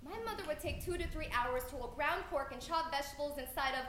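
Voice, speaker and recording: A young woman performing a spoken word poem live, earnest and measured, trading lines with a partner. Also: sits high, a bit bright, a little hiss or hum. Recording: echoey room heard from afar.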